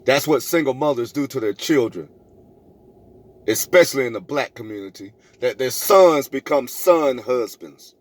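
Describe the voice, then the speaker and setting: A man's voice: mid-range voice, expressive, normal speed. A middle-aged man vlogging a personal story in an emphatic, preachy way, repeating phrases for effect and acting out both sides of conversations. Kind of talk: animated storytelling to camera, re-enacting arguments.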